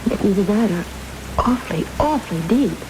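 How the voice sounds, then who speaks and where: An actress playing an anxious, loyal and loving captive wife in a vintage radio drama, breathless and earnest. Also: female voice, medium pitch, lively intonation, brisk, natural voice. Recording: old radio broadcast under loud rain-like noise.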